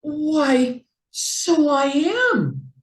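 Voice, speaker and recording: A woman's voice: high voice, expressive, drawn-out words, reverberant, far from the mic, pitched above the performer's own voice, exaggerated voice. A woman voicing a timid, stubborn male duck in a children's storybook, protesting hesitantly. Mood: surprised.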